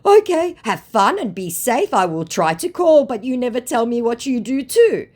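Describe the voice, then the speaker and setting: A smooth-talking male romance scammer, as a woman reads him out, flattering in broken phrases. The voice sits higher than her own and swings widely. It is delivered with a light, lively lilt. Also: moderate pace.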